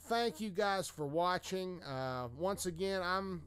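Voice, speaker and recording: A man's voice: high voice, lively intonation, slow, fairly bright tone, clean tone. A man giving a casual, folksy voice-over as host of a video game mod showcase. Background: video game sounds and dialogue.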